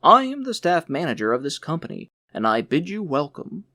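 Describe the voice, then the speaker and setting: A man's voice: on the high side, big pitch swings, fairly quick, sharp tone, no room sound, studio quality, full character voice. A man playing a courteous, hearty staff manager in an audiobook, speaking emphatically and welcomingly. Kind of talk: audiobook character voice.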